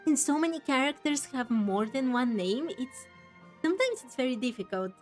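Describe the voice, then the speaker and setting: A woman's voice: high-pitched, animated, normal speed, soft background music. A woman streaming a game, commenting casually and musing aloud in a reactive way, sometimes reading text out. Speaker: gameplay streamer.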